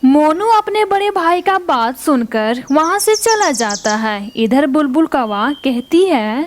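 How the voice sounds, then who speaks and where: A woman narrating a children's cartoon story in a plain, measured way. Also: sits high, expressive, slight background noise.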